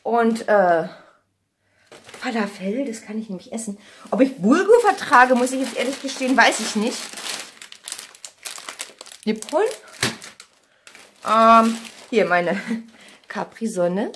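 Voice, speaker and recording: A woman's voice: on the high side, expressive, takes it slowly, pauses often, fairly bright tone. A woman, chatting casually to camera in an informal, thinking-aloud way on a phone at arm's length in a kitchen. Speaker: vlogger. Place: home kitchen. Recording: noisy handheld vlog recording with clicks.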